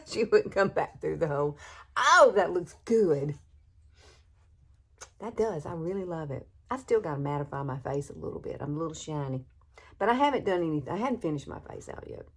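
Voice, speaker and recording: A woman's voice: sits low, expressive, normal speed. Chatty southern woman in her sixties. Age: 60s. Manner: chatty, folksy, laughs often. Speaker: beauty vlogger. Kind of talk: makeup tutorial chat. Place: bedroom at home. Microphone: phone camera, no external mic.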